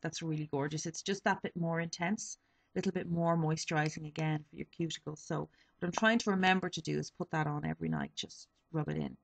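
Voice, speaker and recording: A woman's voice: low voice, expressive, brisk, creaky, a little hiss or hum. A young woman in her twenties, a little sniffly, chatting casually through a beauty haul with lots of ums and self-corrections. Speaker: beauty vlogger. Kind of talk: casual product review.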